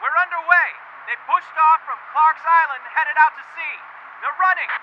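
A very high, urgent navy sailor barks clipped military calls. The radio drama voice comes through a band-limited, slightly noisy two-way radio. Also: male voice, moderate pace, muffled recording. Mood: tense, reporting. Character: urgent young navy petty officer on patrol boat. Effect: two-way radio filter.

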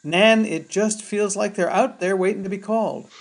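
Bert, stubborn high-voiced small-town fella. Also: male voice, moderate pace. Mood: stubborn, weary. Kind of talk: radio drama acting.

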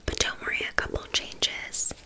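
A woman playing a flaky, passive-aggressive witch in an ASMR roleplay, speaking in a soft, breathy whisper with casual, deadpan patter. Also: normal speed, lightly acted. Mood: casually unbothered.

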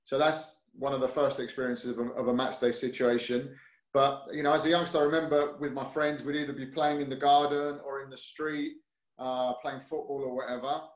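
London-accented male guest, easygoing and hesitant, mid-pitched, echoey room sound. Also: flat delivery, brisk. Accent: London English. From North London, UK. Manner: hesitant, many fillers and restarts, easygoing. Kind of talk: casual interview chat. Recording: roomy echo.

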